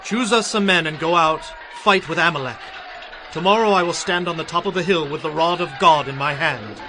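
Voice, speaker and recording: An actor playing a stern, fervent prophet in an audio Bible drama, speaking in a solemn, declamatory way. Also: male voice, on the high side, fairly quick, music in the background, natural voice. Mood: resolute. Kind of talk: dramatized scripture acting.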